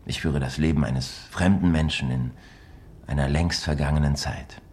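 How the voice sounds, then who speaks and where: A man playing a pensive, evasive dreamer in a naturalistic radio drama, talking in a musing, halting way. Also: extremely low pitch, steady tone, darkish tone. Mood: pensive, dreamy. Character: pensive, evasive man daydreaming of other lives.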